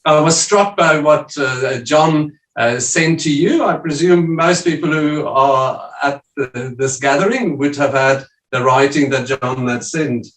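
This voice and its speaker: A man's voice: on the high side, unhurried, few pauses, roomy sound, far from the mic, poor audio. A South African man presenting to a church group over a video call, speaking hesitantly with many fillers. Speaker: visiting church leader presenting. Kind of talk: casual talk on a video call.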